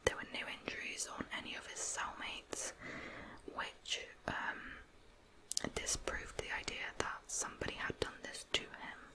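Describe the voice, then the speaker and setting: A woman's voice: a little slow, poor audio. Whispering woman telling true crime. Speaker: ASMR creator narrating true crime. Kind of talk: whispered ASMR storytelling.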